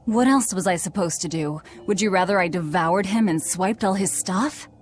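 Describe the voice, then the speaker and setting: A woman's voice: fairly low pitch, moderate pace, slight background noise, natural voice. A woman playing a cynical, bossy young female demon in a video game, curt and sardonic. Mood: stern, sardonic. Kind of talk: video game cutscene acting.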